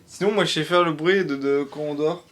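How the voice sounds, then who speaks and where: A man's voice: a little high, a little fast, a bit bright. A man chatting casually and playfully as co-host of a reaction stream. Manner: playful, giggly. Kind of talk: casual joking chat.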